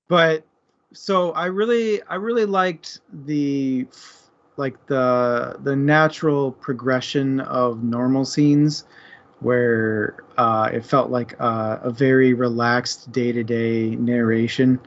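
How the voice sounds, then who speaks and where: A man's voice: mid-range voice, steady tone, takes it slowly, highs cut off. A man co-hosting a casual book discussion, speaking informally and loosely.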